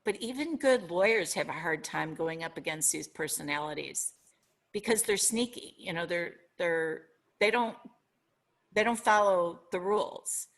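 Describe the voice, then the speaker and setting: Middle-aged Minnesotan woman, podcast guest, bright voice, reflective and sincere. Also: fairly low pitch, expressive, a little slow. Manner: earnest, reflective, searching for words. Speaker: guest author. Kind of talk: podcast interview.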